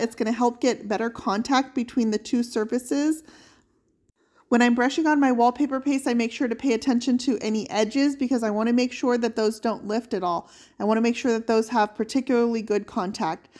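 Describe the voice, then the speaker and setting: Lady talking thru a furniture paint job, kinda high voice, calm how-to tone. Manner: steady, explanatory step-by-step walkthrough. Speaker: furniture painting channel host. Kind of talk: instructional voice-over.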